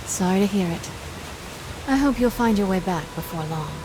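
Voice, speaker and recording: A woman playing a confident, scheming pirate captain in a video game, brisk, wry and self-assured. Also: mid-range voice, expressive, noisy background, lightly acted. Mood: recruiting. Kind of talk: dramatic video game acting. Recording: game music behind the voice. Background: game music.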